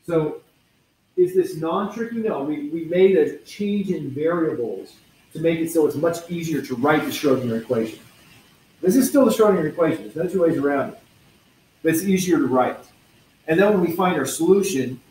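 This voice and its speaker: A man's voice: fairly high pitch, expressive, normal speed, a little mellow, a little hiss or hum, low-quality recording. A man teaching a university physics lecture, working through equations step by step, correcting himself and tossing casual asides to his students. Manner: thinks aloud, self-correcting, chatty asides to students. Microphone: lecture recording.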